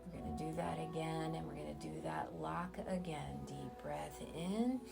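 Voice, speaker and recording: A woman leading a guided meditation in soothing, drawn-out phrases with long pauses. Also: low voice, gravelly. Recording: loud music under the voice. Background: music.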